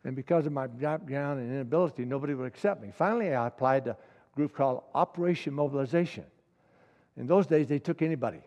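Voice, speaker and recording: A man's voice: mid-range voice, quick pace, a little mellow, in a large hall. A middle-aged man preaching a sermon with passion, repeating key lines and building to emphatic peaks.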